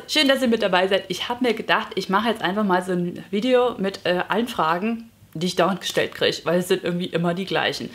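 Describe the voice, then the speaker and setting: A woman in her thirties chatting casually to camera as a vlogger, self-mocking and gesturing a lot, seated in a living room. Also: on the low side. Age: 30s. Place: bright living room indoors.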